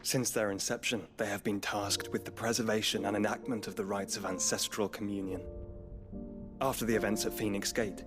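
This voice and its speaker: An actor playing a refined young nobleman in a fantasy game, gentle and dutiful, speaking in formal, measured phrases. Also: fairly low pitch, little pitch movement, over music, close to an everyday voice. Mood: calm, formal. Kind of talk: dramatic fantasy game acting.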